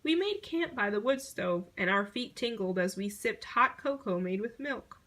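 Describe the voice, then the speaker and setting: A woman reading a children's picture book aloud in an expressive storytelling manner. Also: medium pitch, normal speed, a little hiss or hum. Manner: expressive storytelling, acting out short exclamations.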